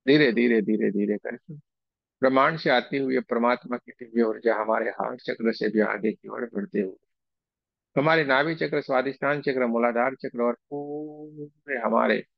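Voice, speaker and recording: A man leading a guided meditation, speaking in slow, soothing, repeated phrases with pauses between them. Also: medium pitch, gravelly, no echo, highs cut off. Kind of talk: guided meditation with chanted invocation and slow repetition.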